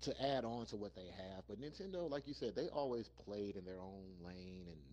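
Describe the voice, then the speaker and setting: A man's voice: fairly low pitch, expressive, moderate pace, darkish tone. A man co-hosting a podcast, talking casually and bluntly about video games in an informal, joking way. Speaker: podcast co-host. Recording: audible hum and background noise.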